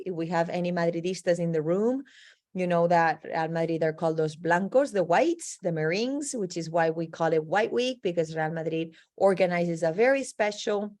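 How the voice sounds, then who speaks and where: A woman's voice: lowish voice, a little mellow. A woman hosting a graduate program webinar over a video call, explaining at length with frequent um fillers. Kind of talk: informational presentation on a video call.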